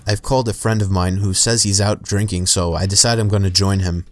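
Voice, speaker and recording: Low-voiced man narrating horror stories. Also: fairly even intonation, brisk, faint music behind. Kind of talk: scripted horror story narration.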